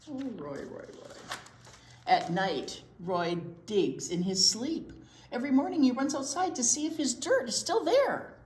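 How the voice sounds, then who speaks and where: A woman reading a picture book aloud to young children in an expressive way, pausing to explain words simply. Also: on the high side. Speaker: library storytime presenter, children's teacher. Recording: roomy echo, light background noise.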